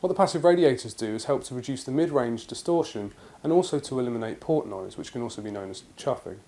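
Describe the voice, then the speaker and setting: A man's voice: mid-range voice, lively intonation, quick pace, dark tone. British man presenting a product review. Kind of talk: semi-scripted product review narration.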